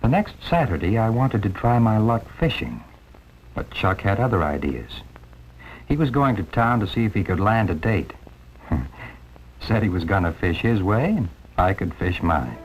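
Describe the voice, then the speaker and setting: A man's voice: on the low side, big pitch swings, normal speed, a little hiss or hum. An actor playing an easygoing young American airman, narrating his story in a folksy, wry, conversational way. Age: young adult. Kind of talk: first-person 1950s film narration. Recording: dull 1950s film soundtrack with music.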